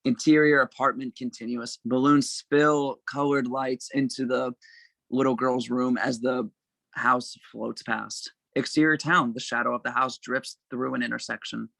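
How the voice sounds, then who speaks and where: Casting: male narrator for script directions, crisp bright tone with some fry, dry sound. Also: mid-range voice, steady tone, measured pace, no room sound. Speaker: narrator reading stage directions. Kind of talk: scripted narration at an amateur table read.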